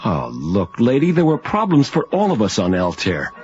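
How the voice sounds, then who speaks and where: Cocky, animated wisecracking astronaut. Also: male voice, lowish voice, moderate pace, slight background noise. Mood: sardonic. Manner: flippant, slangy. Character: cocky wisecracking male astronaut captain, irreverent and informal. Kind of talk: radio drama acting. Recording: muffled vintage radio recording under music.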